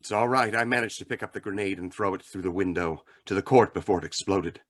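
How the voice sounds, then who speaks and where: A man acting a calm, stern mystery crime-fighter in an old-time radio drama, measured and formal. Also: deep voice. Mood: grave, thoughtful.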